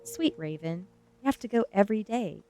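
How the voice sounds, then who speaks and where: A woman's voice: medium pitch, expressive, normal speed, mellow, faint music behind, voice pushed up, lightly acted. A woman voicing a young mother in a storybook, reassuring and firm with her child. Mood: gently firm. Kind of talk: storybook character dialogue.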